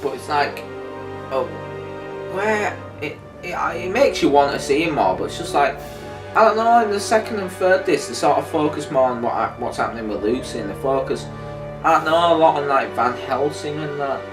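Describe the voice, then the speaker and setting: A man's voice: a little high, lively intonation, normal speed. A man co-hosts a casual review discussion, hesitant and rambling as he works out his thoughts. He is recorded at a distance in an echoey room, with faint music behind him. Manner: rambling, hesitant, thinking aloud. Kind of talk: casual chat reviewing an audio drama.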